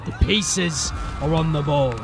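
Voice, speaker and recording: A man's voice: a little high, a little slow, gravelly. A man playing a menacing, mocking gang boss in a fantasy audio drama, taunting and swaggering. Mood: scornful.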